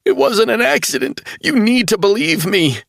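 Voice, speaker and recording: A man's voice: big pitch swings, normal speed, creaky, fairly bright tone, no echo, exaggerated voice. A grief-stricken young man, sobbing and pleading, his voice strained and raised far above normal. A man acts him out in a narrated story. Dry, close sound. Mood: distraught pleading. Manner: sobbing, pleading, high-strung. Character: distraught young man, grieving hacker.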